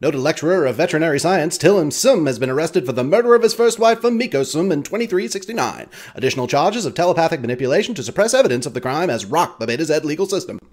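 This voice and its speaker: A man's voice: on the high side, lively intonation, quick pace, few pauses. A man playing a hologram of an early twentieth-century radio commentator, reading news flashes in a clipped, urgent, punchy style. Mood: urgent, brisk. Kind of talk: 1940s radio newscast acting.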